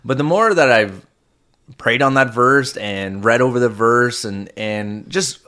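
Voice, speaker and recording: A man's voice: mid-range voice, a little slow, sharp tone. A man co-hosting a faith-based marriage podcast, talking earnestly with frequent restarts and fillers. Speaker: podcast co-host.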